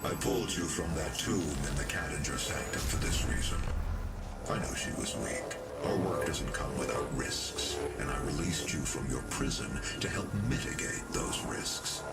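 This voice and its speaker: An actor playing a calm, authoritative scientist in a robot body in a video game, speaking in a measured, formal, cold way. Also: male voice, very deep voice. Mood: grave. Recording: loud music behind the voice.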